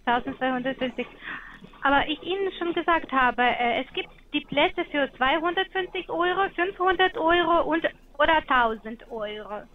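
A woman in her forties works through a scripted sales call in a formal, slightly awkward way. Her voice is high. The phone line sounds dull and muffled. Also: lively intonation, moderate pace. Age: 40s. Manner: formal, scripted, with some slightly ungrammatical phrasing.

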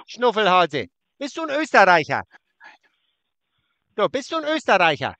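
A man's voice: high-pitched, huge pitch swings, normal speed, bright, forceful tone, no echo, lo-fi sound. A man on a prank call, mocking and insulting a scammer and talking over him. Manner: taunting, insulting, talks over the other. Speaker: prank caller baiting a scammer.

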